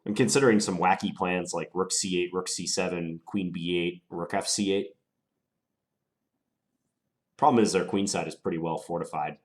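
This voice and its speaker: A man with a very low voice, stuffed up from a cold, talks himself through his chess moves. He sounds deliberate and musing, weighing each option out loud. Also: fairly even intonation. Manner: deliberate, musing, unhurried analysis. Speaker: chess player commentating his own game. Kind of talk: thinking aloud while playing.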